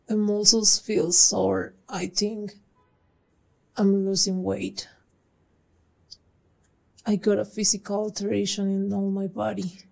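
Young woman acting a scientist turning into a monster, gravelly husky voice, slow and halting. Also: mid-range voice, a little mellow, rough recording. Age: young adult. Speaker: lead actress in a web series. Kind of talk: amateur web drama acting. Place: small indoor room.

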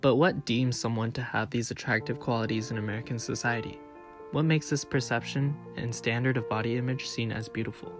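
A young man narrating a student documentary in an even, scripted reading voice. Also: mid-range voice, a little fast. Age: young adult. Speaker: narrator and interviewer. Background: guitar music.

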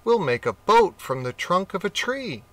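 A man voicing a clever, greedy female rat with a high, squeaky, excited voice in a children's storybook reading. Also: normal speed, distant mic. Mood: cheerful.

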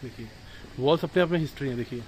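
A man's voice: mid-range voice, rapid-fire, slight background noise, low-quality recording. A man in his thirties from India, chatting through a walking tour vlog on a phone held at arm's length, mixing English words into Hindi. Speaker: travel vlogger. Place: outdoors at an old fort and town streets. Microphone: phone camera held at arm's length.

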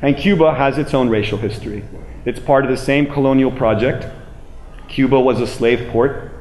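A man's voice: mid-range voice, darkish tone. Emphatic American man lecturing in echoey room. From United States. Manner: emphatic, deliberate, repeating phrases for weight. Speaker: public speaker. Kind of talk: public lecture. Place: indoor room with plain walls, speaking to an audience. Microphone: handheld microphone, later a small clip-on mic. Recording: roomy echo, clear background noise.

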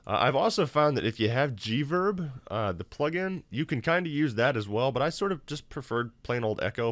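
Casual male tutorial host, lowish pitch, informal explainer, dry home-office sound. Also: dry recording. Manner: informal, self-deprecating, explanatory. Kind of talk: casual screen-recorded software tutorial.

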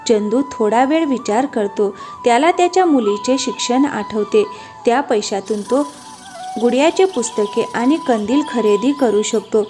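A woman's voice: fairly high pitch, animated, measured pace. A woman reading scripted narration for a cartoon moral story. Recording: music behind the voice.

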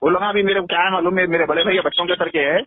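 A man's voice: high-pitched, fairly even intonation, racing, dry recording. A man with a Hyderabadi accent in a heated, insistent phone conversation, swearing and repeating himself. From Hyderabad, India. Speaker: caller. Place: telephone call, played in a news upload. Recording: tinny phone call recording.

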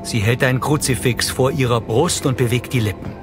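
A man reading scripted audio description in a measured, matter-of-fact way. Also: medium pitch. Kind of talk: scripted narration, present-tense audio description. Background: music.

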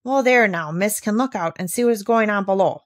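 A woman's voice: medium pitch, brisk, no room sound, clean studio sound, natural voice. A woman reading a storybook as a curt, practical male household servant. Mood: curt, obliging. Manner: curt, matter-of-fact.

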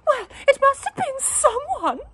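A woman voicing a prim, fussy middle-aged wife in a storybook, shrill and indignant. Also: very animated, a little slow, a bit bright, a little hiss or hum, full character voice.

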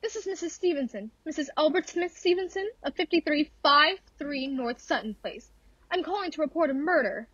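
A woman's voice: high voice, animated, normal speed, a bit bright, dry recording. A woman playing a nervous, imperious married invalid in a radio thriller, insistent and easily exasperated. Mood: agitated, pleading. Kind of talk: classic radio thriller acting. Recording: dull, lo-fi dry audio.

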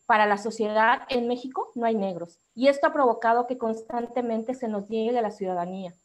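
A woman with a Mexican accent speaking as an activist on a discussion panel, delivering a prepared formal talk in a measured, articulate way. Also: fairly high pitch.

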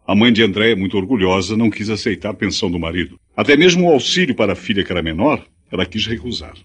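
An actor playing a gentle, resigned, educated drifter in a Brazilian radio drama, with formal diction. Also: male voice, lowish voice, animated, quick pace. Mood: explanatory.

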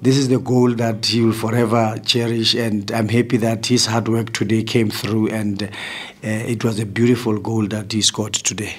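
A South African man in his forties, a football coach answering press questions in a measured way, often restarting his phrases, at a table mic in a press room. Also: on the low side, level tone, husky. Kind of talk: post-match press conference answers. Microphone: table mic in front.

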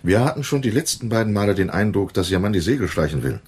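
Husky, shady, condescending dealer. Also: male voice, lowish voice, animated, fast talker, a little mellow. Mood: sardonic. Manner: sardonic, condescending. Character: shady, condescending male dealer in crooked business. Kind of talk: German radio crime drama acting.

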